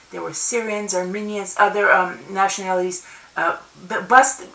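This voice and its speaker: Russian-born middle-aged woman rambling about her past, bright voice, roomy webcam sound from a bit away. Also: medium pitch, creaky. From Soviet Union. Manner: rambling with frequent restarts and laughs. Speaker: convention speaker. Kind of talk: casual personal storytelling. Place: home study with bookshelves and plants. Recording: roomy webcam audio from a distance.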